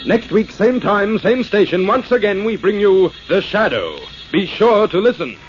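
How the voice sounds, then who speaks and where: A man's voice: high voice, very animated, normal speed. A man with an Australian accent announcing in 1940s radio style. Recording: dull old radio recording. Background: music.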